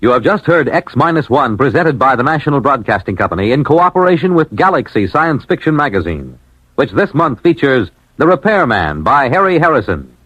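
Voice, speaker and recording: Animated, husky American radio announcer man. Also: mid-range voice, no room sound, poor audio. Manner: emphatic, formal, polished delivery. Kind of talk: 1950s scripted announcing and commercial read. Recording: vintage radio broadcast.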